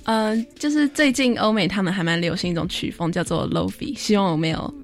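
A woman's voice: medium pitch, a little fast, soft background music. A teenage female student with a Taiwanese Mandarin accent, answering interview questions hesitantly as she feels for her words. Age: teens. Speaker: high school student guest.

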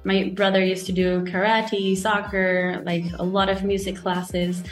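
A young woman whose first language is Spanish talks easily as an interview guest. She speaks in a mid-pitched voice, scatters "like" and "you know" through her sentences and breaks into light laughs, with faint music playing underneath. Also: level tone, measured pace. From Guatemala. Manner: chatty, laughs often, many fillers like "like" and "you know". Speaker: guest, startup founder. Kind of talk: casual interview chat.

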